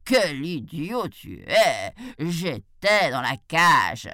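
A woman's voice: low-pitched, lively intonation, slow pace, fairly bright tone, smooth, full character voice. Woman as a fierce, growling, impatient storybook tiger. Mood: exasperated. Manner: growling, domineering.

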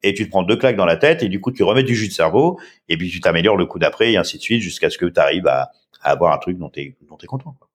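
A man's voice: low voice, lively intonation, fast, breathy edge. A man in his fifties, a startup founder answering interview questions in a casual, rambling way with many filler sounds. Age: 50s.

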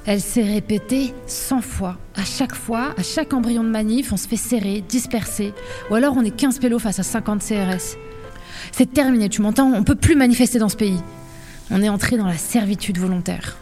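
A woman's voice: mid-range voice, moderate pace, warm tone, soft background music, lightly acted. An actress playing a disillusioned, sarcastic woman protester in a French audio drama, grumbling in a slangy, weary way. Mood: bitter despair. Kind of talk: French audio fiction acting.

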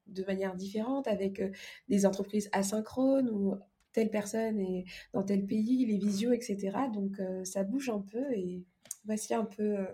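A woman's voice: medium pitch, moderate pace, warm tone. A woman speaking casually as a podcast guest, explaining things informally with examples. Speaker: podcast guest, organisation coach. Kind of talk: casual interview conversation.

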